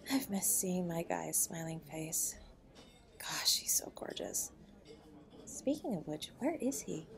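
A woman playing a protective, loving wife in an intimate audio roleplay, with a breathy voice. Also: on the low side, heavily acted. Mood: fond, content, then concerned. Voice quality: breathy, often dropping to a whisper. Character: protective loving wife comforting and defending her husband.

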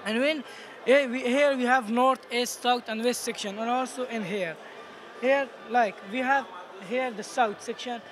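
A teenage male student with an Afghan accent explaining his project in an interview in halting non-native English. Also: extremely high pitch, fairly bright tone. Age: teens. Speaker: student competition participant. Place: busy exhibition booth indoors. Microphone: handheld interviewer microphone. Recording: noisy event hall background.

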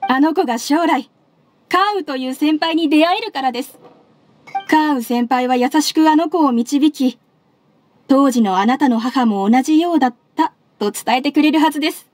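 Woman acting high-voiced, earnest secretary. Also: no echo, natural voice. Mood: warm, heartfelt. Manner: polite, earnest, measured. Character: earnest, respectful young female secretary, a working mother. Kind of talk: Japanese anime game voice acting. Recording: studio voice over game music. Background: soft background music.

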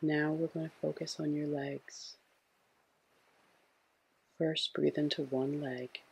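A man with a husky, breathy voice gently guiding a sleep meditation in a soothing, hushed way. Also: on the high side, flat delivery, slow, a little hiss or hum, low-quality recording. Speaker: meditation guide.

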